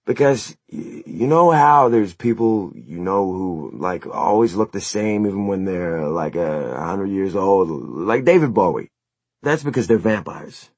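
A man voicing a laid-back, goofy teenage band guy in an audiobook, talking in halting slang. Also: deep voice, expressive, husky, no room sound, full character voice. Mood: earnest, goofy. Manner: slangy, halting, full of "like" and "dude".

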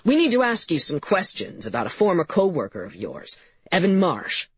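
A woman's voice: fairly low pitch, wildly swooping pitch, moderate pace, husky, dry recording, dull audio, heavily acted. A woman voicing a male investigator in an audiobook, weary and firm, with a blunt, controlled way of talking. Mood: measured. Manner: blunt, controlled, formal when questioning.

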